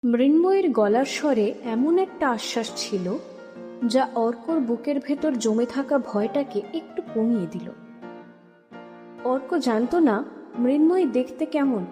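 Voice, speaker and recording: A woman narrator reading a scripted story for an audio drama. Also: on the high side. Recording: long reverb under music. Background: music.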